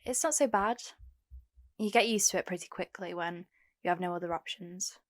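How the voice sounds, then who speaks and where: Actress doing a young homeless girl in an audio play, lowish voice, plain-spoken, guarded but hopeful. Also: moderate pace, lightly acted. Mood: reflective, candid. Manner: plain-spoken, candid, short replies. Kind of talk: first-person audio drama narration and dialogue.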